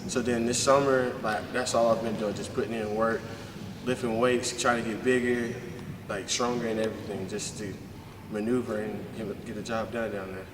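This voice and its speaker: A teenage boy on a high school basketball team answers in a flat, halting way, saying "like" every few words. He stands far from the mic in a hall with long reverb. Clear background noise runs under it. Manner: hesitant, leans on "like" constantly.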